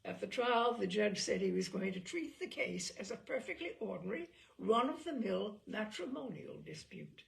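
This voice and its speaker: A woman's voice: medium pitch, lively intonation, normal speed, gravelly, few pauses. A woman reading a story aloud with dry, wry storytelling. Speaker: reader of stories.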